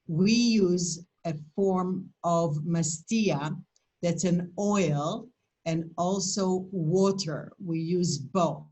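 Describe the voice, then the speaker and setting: A woman's voice: lowish voice, mellow, rough recording. An older woman from Poland with a Polish accent, presenting and answering questions in a webinar over a video call, speaking in an emphatic, motivational way.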